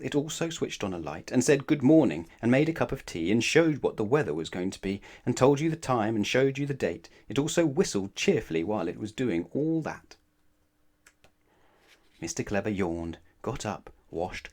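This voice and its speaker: A man narrating a children's picture book aloud, expressive and often addressing the young listener directly. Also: fairly low pitch. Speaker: storybook narrator.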